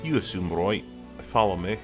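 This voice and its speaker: Casting: male actor as seasoned detective, older, low voice, grave and tired, fantasy audio drama, music clearly behind. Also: expressive, moderate pace. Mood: matter-of-fact. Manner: weary, grave. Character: world-weary older male detective, grave, easily exasperated.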